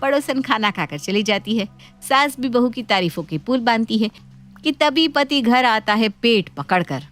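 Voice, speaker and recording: A woman storyteller with a fairly high voice reads a scripted tale. She delivers it as narration for a story. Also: animated, normal speed, fairly bright tone, faint music behind.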